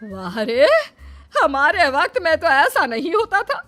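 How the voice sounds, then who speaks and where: A woman voicing a nagging, old-fashioned middle-aged mother-in-law in an animated moral story, dramatic and indignant. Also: sits high, unhurried, heavily acted.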